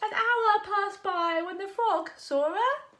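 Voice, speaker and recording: A woman reading a rhyming picture book aloud to children in an expressive, engaging way. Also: extremely high pitch, slow pace. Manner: expressive, asks the young listener questions. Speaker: children's storyteller.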